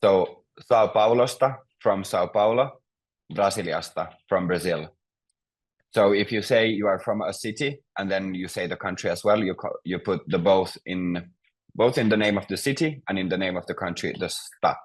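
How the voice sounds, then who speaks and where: A man's voice: low-pitched, normal speed, slightly rough. A man teaching a beginner language class, patiently prompting students and explaining grammar step by step.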